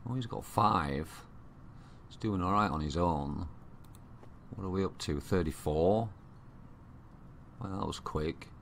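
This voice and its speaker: A man with a Yorkshire accent giving casual, unhurried gaming commentary, thinking aloud to himself. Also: deep voice, creaky, a bit bright, a little hiss or hum. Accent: Yorkshire English. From Yorkshire, England. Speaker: gamer commentating own play. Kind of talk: casual let's play commentary. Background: strategy game ambience.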